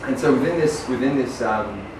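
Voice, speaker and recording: A man's voice: medium pitch, moderate pace. Australian male speaker, earnest talk, distant mic, echoey room. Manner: earnest, with many ums and restarts. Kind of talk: public presentation. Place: small venue, far from the mic. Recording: echoey room from far off, noisy.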